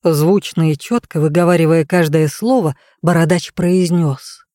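A woman's voice: deep voice, measured pace, mellow, no echo. Woman storyteller, closely recorded and dry. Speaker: audiobook narrator. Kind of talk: expressive scripted storytelling.

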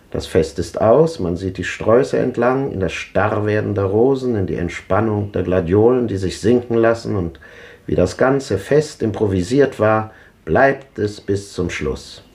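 A man's voice: fairly low pitch, a little mellow. An actor playing an ironic, resigned old man who lectures drily, in a 1950s radio drama.

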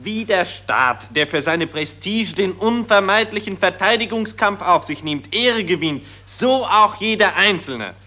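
Brief: preachy patriotic optimist, bright and forceful tone, a touch high. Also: male voice, expressive, unhurried, few pauses, exaggerated voice. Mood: lofty. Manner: solemn, preachy, high-flown. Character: pompous patriotic male optimist, lecturing.

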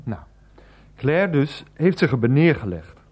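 A sheepish nephew in a radio play. He has a mid-pitched voice with lively swings in it. He speaks haltingly and earnestly, a little evasive. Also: breathy edge. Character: hesitant, sheepish adult nephew torn between two women.

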